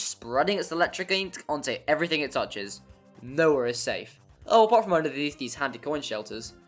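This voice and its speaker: A narrator reading a scripted video game review in a wry, mildly sweary, hyperbolic way. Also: mid-range voice. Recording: music playing behind. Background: background music.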